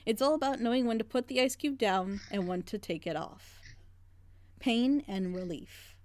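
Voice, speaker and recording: A woman's voice: on the high side, normal speed, darkish tone, a little hiss or hum. A woman in a casual group reaction chat, talking earnestly and passionately in long, rambling stretches. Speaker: reaction group member leading the discussion.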